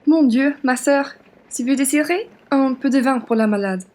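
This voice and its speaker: A woman playing a polite, high-voiced young traveller. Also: normal speed, a little mellow, lightly acted. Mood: eager to help. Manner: courteous, deferential. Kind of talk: French radio play acting.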